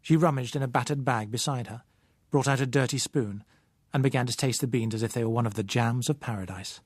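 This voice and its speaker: A man with a British accent reading a novel as an audiobook narrator, measured, literary and expressive in delivery. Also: medium pitch, breathy edge, no echo, clean studio sound. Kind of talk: dramatic scripted novel narration.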